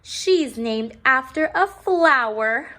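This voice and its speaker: A woman's voice: high voice, expressive, slow pace, pushed and bright, pitched above the performer's own voice, exaggerated voice. A woman voicing mean, gleeful little schoolgirls with taunting voices for a children's storybook. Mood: scornful.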